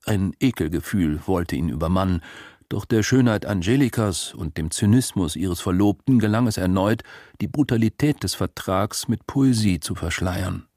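A man narrating a literary story from a script in an expressive, measured storytelling manner. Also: low-pitched, dry recording, studio quality.

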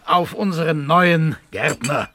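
An actor playing a genteel, intimidated elderly country squire in a radio drama, speaking with hesitant politeness. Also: male voice, fairly high pitch, slow, pushed and bright, dry recording, heavily acted. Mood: flustered, forced cheer. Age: older.

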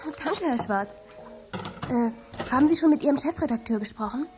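An actress playing a friendly, determined teenage girl in a German children's audio drama, with a light, husky voice. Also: sits high, lively intonation, normal speed, over music. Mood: polite.

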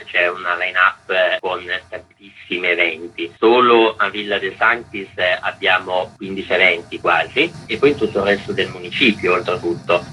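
A man with a very low yet bright, clear voice answers interview questions on the radio. He explains the program in a measured, orderly way, as one of the festival's organizers. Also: poor audio. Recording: radio broadcast.

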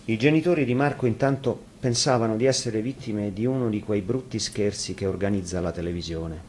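A man's voice: lowish voice, brisk, a little mellow. A man reads a fairy tale aloud as a storyteller, in scripted narration. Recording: faint noise, live radio broadcast.

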